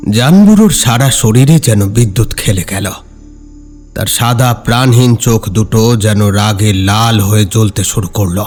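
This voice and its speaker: A man narrating a scripted horror story in a dramatic, measured, suspenseful way. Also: mid-range voice, darkish tone.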